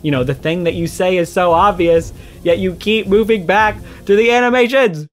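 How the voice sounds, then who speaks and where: A man with a fairly high voice delivers animated commentary for a response video. He argues his case emphatically and explains it point by point, with music playing behind him. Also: normal speed. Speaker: video essayist responding to another creator.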